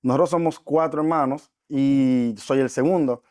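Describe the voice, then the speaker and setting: A man's voice: mid-range voice, normal speed, darkish tone, no echo. Earnest young man, dry sound. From Dominican Republic. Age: 20s. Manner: earnest and reflective, with frequent fillers. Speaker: podcast guest. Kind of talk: casual interview talk.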